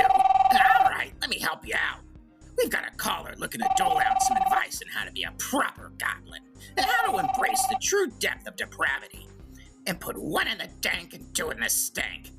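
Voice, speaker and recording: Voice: mid-range voice, wildly swooping pitch, normal speed, vocal fry, over music. An actor voicing a sly, crude male goblin who hosts a comedic radio call-in show, smirking and rambling. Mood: smug, teasing. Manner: smirking, self-justifying, rambling with drawn hesitations. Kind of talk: comedic in-character monologue and banter.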